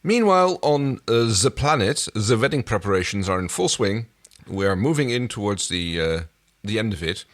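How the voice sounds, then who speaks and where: A man co-hosting a podcast, recapping casually in a low-key, halting way. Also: big pitch swings. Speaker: podcast co-host. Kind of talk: casual episode recap commentary.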